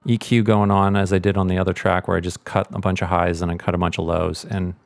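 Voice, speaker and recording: A middle-aged man with a lowish voice leads a casual audio tutorial. He speaks informally, thinking aloud through each step, in a very dry recording. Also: little pitch movement, fast talker, gravelly, no echo. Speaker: sound mixer giving a tutorial.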